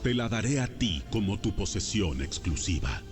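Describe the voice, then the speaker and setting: A man's voice: on the low side, sing-song, moderate pace, over music. A man playing a solemn, authoritative God in a dramatized audio Bible, speaking in declarations. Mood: solemn, commanding.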